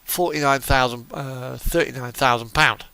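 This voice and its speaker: A man's voice: mid-range voice, normal speed, vocal fry, bright, forceful tone, smooth, dry recording. A man giving casual live commentary as he streams a game, chatting and thinking aloud.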